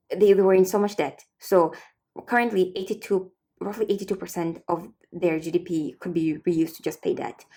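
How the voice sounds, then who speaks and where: A young woman with an East African accent talks through a topic in a relaxed, conversational way. Her voice sits low and mellow, with a gravelly edge, and she often stops mid-thought to correct herself or laugh at a slip. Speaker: host. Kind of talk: casual explainer talk.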